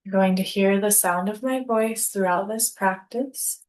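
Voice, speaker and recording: Woman, meditation instructor. Very slow, calm, unhurried delivery in mid pitch, measured pauses, guided relaxation read. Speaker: yoga nidra instructor.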